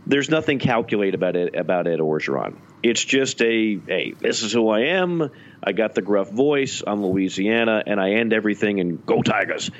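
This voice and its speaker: A man with a somewhat low voice co-hosts a casual sports podcast. He talks in an animated, rambling way and often restarts his sentences. The recording sounds dull and lo-fi. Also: moderate pace. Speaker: podcast co-host.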